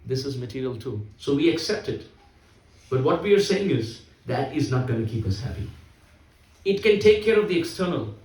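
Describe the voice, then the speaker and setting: A man's voice: mid-range voice, big pitch swings, moderate pace, darkish tone, distant mic. Emphatic man preaching in an echoey hall. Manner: emphatic, repeats key phrases. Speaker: spiritual speaker. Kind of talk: sermon.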